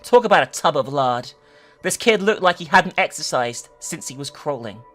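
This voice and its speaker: An animated narrator reads a horror story with a bright, forceful delivery. The reading swings widely in pitch and breaks into sweary, incredulous commentary. Faint music plays behind. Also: moderate pace. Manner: expressive, sweary and incredulous in asides. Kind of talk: dramatic reading of an internet horror story with asides.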